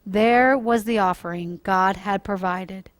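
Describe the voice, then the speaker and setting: A woman telling a Bible story to children, explaining it simply and deliberately as a church storyteller. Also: mid-range voice, dry recording. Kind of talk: read-aloud children's story with informal moral teaching.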